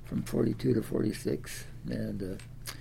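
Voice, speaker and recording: A man's voice: lowish voice, fairly even intonation, measured pace, gravelly, warm tone, slight background noise. A man of ninety from Iowa, telling his life story in an oral history interview, rambling with many fillers and restarts. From Des Moines, Iowa. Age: 90s.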